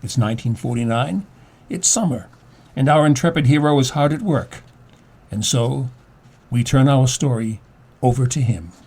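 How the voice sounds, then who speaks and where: A man's voice: mid-range voice, normal speed, long pauses, a little mellow, slightly rough. A man delivering a scripted introduction as the announcer of a radio play.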